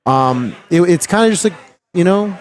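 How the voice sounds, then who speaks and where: A man's voice: high-pitched, expressive, moderate pace. A man from Miami, a game developer guest, talking casually with many restarts and filler words. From Miami, Florida. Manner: rambling, many restarts and "you know"s. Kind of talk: casual interview chat.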